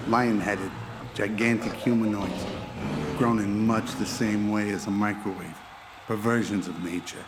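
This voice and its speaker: Deep, even-toned male story narrator. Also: background noise. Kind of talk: scripted audio drama narration.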